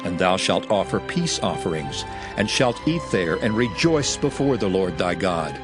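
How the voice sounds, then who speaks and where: Man as animated, solemn biblical lawgiver Moses. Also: sits low, moderate pace, music in the background. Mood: solemn instruction. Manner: solemn, exhorting. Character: Moses, earnest lawgiver instructing his nation. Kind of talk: dramatized King James Bible reading.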